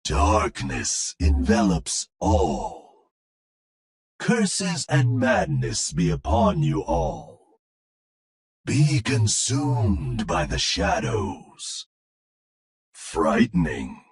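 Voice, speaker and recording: A man voicing a dark, mystical robot monk in a video game, solemn and incantatory. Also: fairly high pitch, very animated, extremely slow, gravelly, breathy edge, dry recording, studio quality, exaggerated voice. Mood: ominous. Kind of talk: video game hero voice lines.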